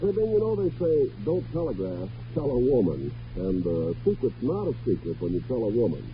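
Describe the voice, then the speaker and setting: An American man announcing on 1950s radio, giving a folksy, emphatic sponsor pitch. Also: wildly swooping pitch, brisk. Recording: dull vintage radio recording with music.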